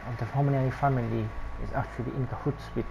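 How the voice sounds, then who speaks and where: A man's voice: mid-range voice, steady tone, fast, creaky, background noise. A man with a Filipino accent giving casual game commentary, hesitant and musing as he follows along. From Philippines. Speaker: gaming commentator. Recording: dull sound, loud game music behind.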